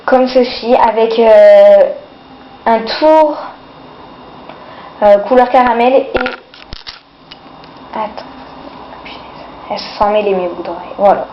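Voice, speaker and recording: A woman's voice: a little high, slow pace, pauses often, a little hiss or hum. A woman with a cold and a runny nose, chatting casually through a haul of her sale purchases, hesitant and full of fillers. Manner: hesitant, many fillers, keeps saying "voilà". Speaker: vlogger showing sale purchases. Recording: echoey, distant, dull lo-fi home video.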